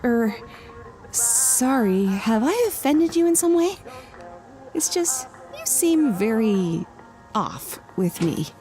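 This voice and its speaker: A woman's voice: a little high, very animated, slow, dark tone, soft background music. A young woman playing an eager, polite, slightly hesitant psychiatric intern in an audio drama. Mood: flustered, polite.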